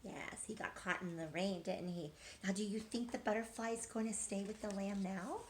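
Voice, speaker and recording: A woman's voice: medium pitch, fairly even intonation, moderate pace, slight background noise. A middle-aged woman teacher reading a picture book aloud to young children, expressively, with questions to her listeners. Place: living room couch at home.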